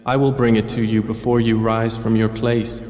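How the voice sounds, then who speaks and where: A man reading a scripture translation aloud in a measured, formal narration. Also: on the low side, level tone, darkish tone. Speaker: translation reader. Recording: echoey room with long reverb.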